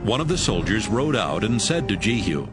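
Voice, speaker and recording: Male bible narrator, lowish voice, lively intonation, music playing behind. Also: moderate pace. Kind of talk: scripted dramatized Bible narration.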